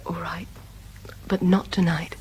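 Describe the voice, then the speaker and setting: An actress playing a loving, frank, long-suffering girlfriend in an intimate radio drama, often hushed. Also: fairly low pitch, level tone, unhurried, a little hiss or hum, lightly acted, low-quality recording. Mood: tender, pleading.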